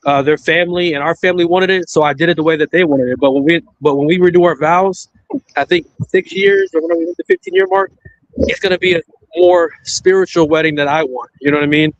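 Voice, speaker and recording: Married male panelist, rambling and casual, fairly high pitch, dry close mic. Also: animated, quick pace, no echo, dull audio. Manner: rambling, repeats "you know what I mean".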